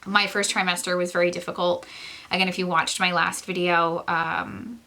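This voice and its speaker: Young female vlogger, bright tone, nasal from congestion, slightly breathless, loose chatty delivery full of "like" and "um". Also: fairly low pitch, level tone, normal speed. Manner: chatty, rambling with frequent "like" and "um"; laughs often between phrases. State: stuffy, congested nose; short of breath. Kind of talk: casual chat to camera.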